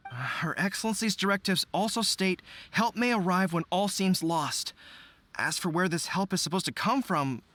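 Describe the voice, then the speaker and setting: A man's voice: high voice, animated, normal speed, pushed and bright, no echo. An actor playing an earnest, by-the-book young general in a video game who plans carefully and talks through his tactics.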